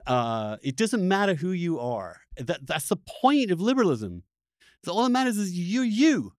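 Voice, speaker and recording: Male podcast host, animated intonation, earnest, emphatic, halting restarts, dry close sound. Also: high-pitched, no room sound. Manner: halting, with repeated words and restarts when worked up. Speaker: podcast host interviewing a guest. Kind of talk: impassioned conversational interview.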